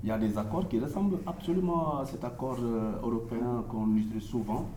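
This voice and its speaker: A man's voice: mid-range voice, a little fast, warm tone, a little hiss or hum. Man explaining music in a roomy space. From Senegal. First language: Manding. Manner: patient step-by-step explaining, addressing the audience directly. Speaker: musician teaching an audience. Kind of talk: instrument demonstration workshop. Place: room with a live audience. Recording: roomy echo with plucked strings playing.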